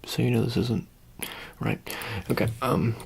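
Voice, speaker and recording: A soft-spoken ASMR roleplayer, sometimes whispering, playing an offhand handler in a hesitant, rambling, casually reassuring way. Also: medium pitch, fairly even intonation, unhurried, creaky, slight background noise. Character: offhand handler preparing an alien for public exposure.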